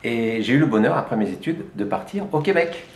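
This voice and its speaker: A man's voice: medium pitch, expressive, fairly quick, echoey room. A middle-aged man from France, a life coach telling his story in a chatty interview with frequent hesitations. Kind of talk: conversational interview.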